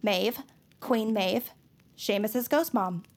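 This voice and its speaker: A woman playing a nerdy, earnest, excitable young superfan in an audio drama, rambling through her explanations. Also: medium pitch, takes it slowly. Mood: eager, showing off. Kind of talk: scripted audio drama acting.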